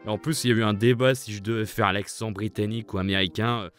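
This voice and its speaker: A man's voice: on the low side, fairly even intonation, fast talker, a bit bright, faint music behind. A man who voices a part in a fan dub, speaking plainly and a little sheepishly. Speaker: fandub voice actor. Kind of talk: casual recorded answer.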